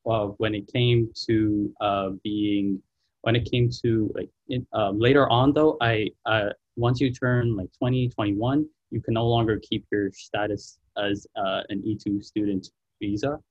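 A young man from Trinidad speaks earnestly as a student panel guest, repeating words as he gathers his thoughts. His voice sits a little low and sounds dry. The call audio is dull and lo-fi. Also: steady tone, unhurried, no echo. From Trinidad and Tobago. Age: young adult. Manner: earnest, restarts often. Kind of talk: online panel Q&A. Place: at home.

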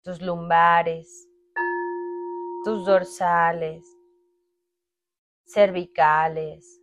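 A woman leading a guided meditation in a calm, unhurried way, speaking in short phrases with long pauses. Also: on the low side. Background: meditation music with sustained tones.

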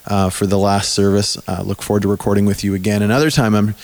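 A man hosting a podcast in casual chat, his voice hoarse and mostly gone, talking loosely and restarting his sentences. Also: on the low side, flat delivery, fast talker, darkish tone. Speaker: podcast host.

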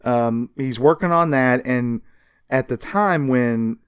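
Guy hosting a podcast, explaining history casually, normal pitch, really dry sound. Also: takes it slowly, clear, resonant tone, no room sound. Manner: explanatory, colloquial. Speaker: podcast host. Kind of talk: casual chat, telling comics history.

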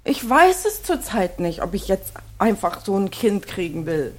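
A woman's voice: medium pitch, big pitch swings, moderate pace, background noise, natural voice. Woman acting a fed-up, defensive wife in her forties. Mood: exasperated. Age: 40s. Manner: defensive, exasperated. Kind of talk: naturalistic improvised-sounding audio drama dialogue.

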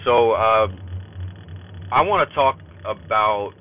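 A man's voice: lowish voice, lively intonation, takes it slowly. A man in his thirties with a stuffy cold, hosting a podcast and talking through a casual spoken journal in a rambling way, full of fillers and restarts, while he drives. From California. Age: 30s. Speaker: podcast host. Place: in a car while driving. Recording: phone-like line, faint car noise. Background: car road noise.

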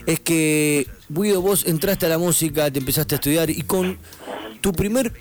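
A man's voice: a little high, normal speed, a little mellow. A man from Argentina, hosting a radio interview, chatty and prone to digressions. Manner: chatty, digressive, many backchannels. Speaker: radio host.

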